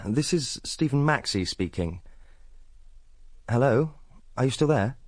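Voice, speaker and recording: A polite young doctor on the telephone in a radio drama. His voice is lively in intonation and closely, dryly recorded, earnest and careful. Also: mid-range voice, measured pace, dry recording, clean studio sound. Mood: polite, coaxing. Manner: courteous, careful. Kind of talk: radio drama phone dialogue.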